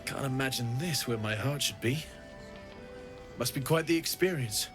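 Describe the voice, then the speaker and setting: An actor voicing a friendly, curious infernal mechanic and weaponsmith in a fantasy video game, speaking frankly and expressively. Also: male voice, medium pitch, moderate pace, lightly acted. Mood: fascinated. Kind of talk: video game character acting. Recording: game audio with music behind. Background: music.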